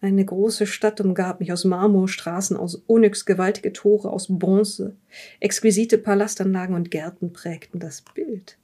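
A woman playing a curious, measured interviewer who examines a dead man's journal in an improvised audio drama. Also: mid-range voice, fairly even intonation, warm tone, close to an everyday voice. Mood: intrigued.

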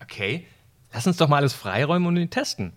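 A man's voice: on the high side, expressive, quick pace, a bit bright, voice pushed up. A man playing an easygoing, adventurous, rational time traveller in an amateur audio drama, casual and understated. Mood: intrigued.

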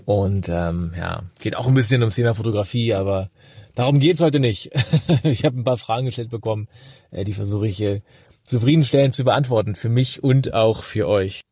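Casual guy in his 30s leaving a voice note, mellow and a bit raspy, fairly low. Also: normal speed, no echo, clean studio sound. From Germany. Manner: relaxed, rambling, many fillers. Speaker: photographer guest. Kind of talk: recorded voice message. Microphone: phone voice message.

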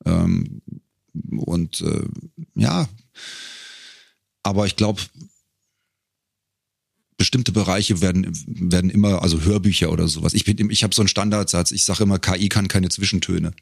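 A man's voice: sits low, moderate pace, vocal fry, pauses often, no echo, clean studio sound. A middle-aged man talking casually and reflectively as an interview guest, feeling his way through phrases. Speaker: guest, professional voice actor.

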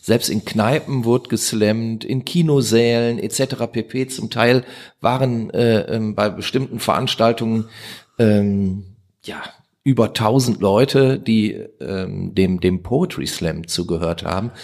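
A man's voice: on the low side, unhurried, a little mellow. A man hosting a podcast interview, feeling his way through long, casual questions with many hesitations. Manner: searching, hesitant, stretches out questions.

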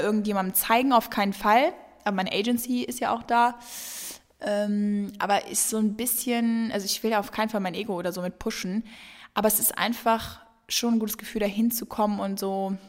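A woman in her twenties hosting a casual solo podcast, answering listener questions in a chatty, rambling way with many fillers. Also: fairly high pitch. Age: 20s. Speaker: podcast host. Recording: long reverb.

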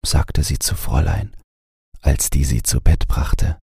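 A man narrating a bedtime audiobook in a calm, scripted storytelling style, close to the microphone. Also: very deep voice, unhurried, vocal fry, slightly rough, dry recording.

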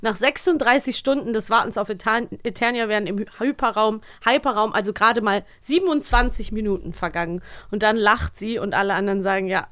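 A woman's voice: medium pitch, brisk, few pauses, fairly bright tone. A woman co-hosting a podcast in casual chat, giggly and enthusiastic. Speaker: podcast co-host.

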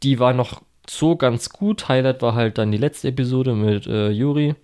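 A man's voice: medium pitch, moderate pace, darkish tone. A man co-hosting a chatty podcast, rambling with many fillers and restarts. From Germany. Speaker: podcast co-host. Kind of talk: casual chat.

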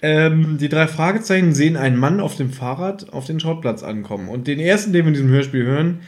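A man's voice: a little high, fast. A man hosting a podcast in speech, chatting casually about radio plays in a teasing, nitpicking way. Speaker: podcast host.

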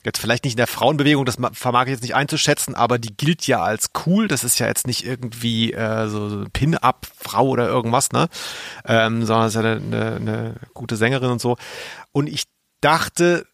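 A man with a creaky, gravelly voice of medium pitch talks casually as a podcast co-host. He thinks aloud, hesitant and self-deprecating. The recording is very dry, with faint music behind him. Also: a little fast, fairly bright tone, no echo. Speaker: podcast co-host, quiz contestant. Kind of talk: casual chat.

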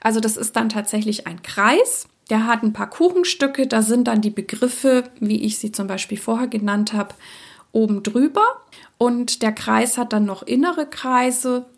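A woman hosting her own podcast, talking casually and reflectively to listeners, with many soft fillers, who grew up bilingual in a Czech-speaking family. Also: on the high side, normal speed. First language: Czech-speaking parents, grew up bilingual. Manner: reflective, addresses listener directly, frequent fillers. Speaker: podcast host, soprano singer. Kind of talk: casual solo podcast monologue.

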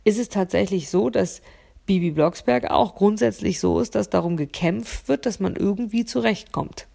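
A woman's voice: medium pitch, normal speed, vocal fry, dark tone. A woman talking unscripted to the listener as a solo podcast host, often searching for words and repeating them for emphasis.

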